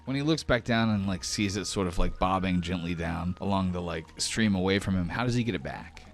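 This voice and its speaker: A man's voice: sits low, fairly quick, a little hiss or hum. A man narrating a tabletop roleplaying game as its game master.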